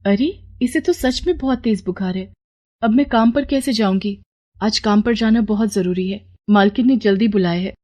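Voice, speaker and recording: A woman's voice: mid-range voice, moderate pace, dark tone, natural voice. A woman voicing a poor, worried, caring mother in a moral story, earnest and homely as she pleads and scolds.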